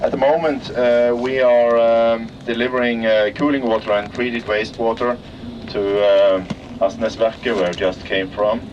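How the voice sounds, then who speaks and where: A man from Norway with a Norwegian accent, guiding visitors around an industrial site and pausing often to search for English words. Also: medium pitch, level tone, muffled recording. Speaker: tour guide at an industrial plant. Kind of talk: guided tour commentary. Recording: distant voice under loud outdoor traffic noise.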